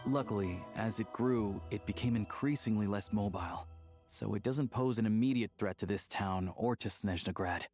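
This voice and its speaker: Low-voiced, easygoing game ally played by a man. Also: moderate pace, darkish tone, faint music behind, natural voice. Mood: informative. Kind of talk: video game dialogue acting.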